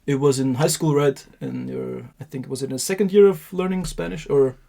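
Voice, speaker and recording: A man hosting a podcast interview, asking questions in a measured, deliberate way. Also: medium pitch, fairly even intonation, vocal fry, mellow. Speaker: podcast host.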